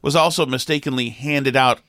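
Sniffly New man co-hosting a talk show, halting and filler-heavy, then forceful, in a mid-pitched voice on a dry, close mic. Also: steady tone, no room sound. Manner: rambling, halting with fillers and restarts, then emphatic. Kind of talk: opinionated casual talk.

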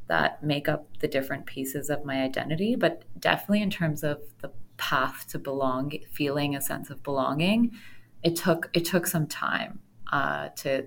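A woman's voice: deep voice, gravelly, a bit bright, a little hiss or hum. A Canadian woman giving a reflective, conversational interview answer, searching thoughtfully for her words. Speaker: podcast guest.

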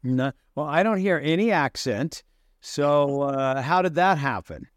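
A man's voice: a little high, expressive, measured pace, no room sound, clean studio sound. A man hosting a podcast, who introduces his guest and asks friendly questions. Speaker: podcast host. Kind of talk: interview hosting.

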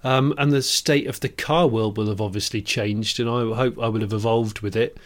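A man's voice: lowish voice, fairly even intonation, normal speed. Jokey man in his forties. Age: 40s. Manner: jokey, self-deprecating, trips over words. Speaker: podcast co-host. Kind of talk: casual chat.

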